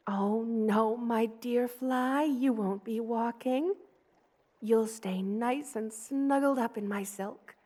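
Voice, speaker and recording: A woman voicing a gentle, loving spider queen in an ASMR roleplay, with a tender, soothing and gently formal way of speaking. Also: on the high side, slow pace. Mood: warm, affectionate.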